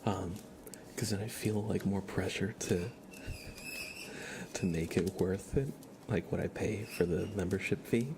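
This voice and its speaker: A man speaking softly and gently in a casual ASMR livestream style, often drifting into a whisper. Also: sits low, unhurried, pauses often, noisy background. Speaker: ASMR live streamer, host. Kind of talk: soft-spoken ASMR livestream, casual chat and tarot reading.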